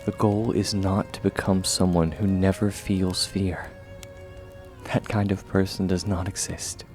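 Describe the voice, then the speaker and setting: Male actor as kind swordsman mentor, deep voice, unhurried, soft near-whisper, reassuring, ASMR comfort roleplay. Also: flat delivery, soft background music. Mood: earnest reassurance. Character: gentle, steadfast young swordsman mentor comforting a friend.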